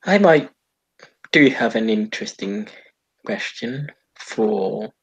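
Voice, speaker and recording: A man's voice: lowish voice, sing-song, slow pace, gravelly, breathy edge, lo-fi sound, full character voice. A man voicing a formal, courteous male vampire who once fought as a soldier. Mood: courtly. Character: old-world male vampire, former French soldier, courtly.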